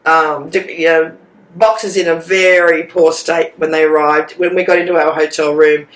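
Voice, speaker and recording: An Australian woman with a cold, croaky and sleep-deprived, chatting and rambling as she co-hosts a stitching vlog from a hotel room. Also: sits low, flat delivery, moderate pace, echoey room, low-quality recording. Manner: chatty, rambling, warm asides. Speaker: co-host. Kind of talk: casual chat.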